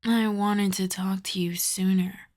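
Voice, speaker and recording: A woman voicing a seductive, lovestruck vampire in a soft, breathy, intimate ASMR whisper, coaxing and doting. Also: mid-range voice, flat delivery, measured pace, close to an everyday voice. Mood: lovestruck confiding.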